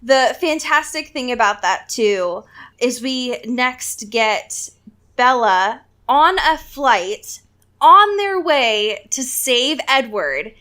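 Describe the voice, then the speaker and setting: Female podcast co-host, high-pitched, very lively intonation, casual and sarcastic book chat.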